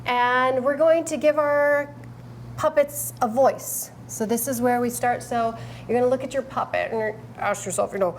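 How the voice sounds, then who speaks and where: A woman's voice: on the high side, fairly even intonation, normal speed, a little hiss or hum, rough recording. A woman improv teacher in an interview, explaining puppet games in an upbeat, explanatory way.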